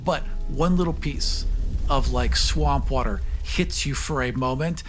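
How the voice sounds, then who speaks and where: A man plays a tabletop roleplaying game, describing action in a casual, vivid storytelling way. His voice sits at a middle pitch. Music plays clearly behind him. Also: unhurried. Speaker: player.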